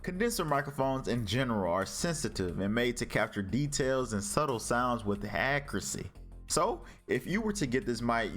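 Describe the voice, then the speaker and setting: A man with a deep voice giving a scripted product review from home, casually addressing his viewers as y'all, recorded on a budget USB desk mic. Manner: casual, calls the audience y'all. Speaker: tech reviewer. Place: home room with carpet and a PC. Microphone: budget USB condenser desk mic.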